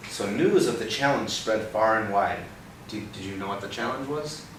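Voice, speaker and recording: A young man reading a picture book aloud to a child, pausing often to ask gentle questions about the words. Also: on the low side. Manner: expressive storybook reading broken by gentle teaching questions. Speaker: read-aloud host. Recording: roomy, distant mic with background noise.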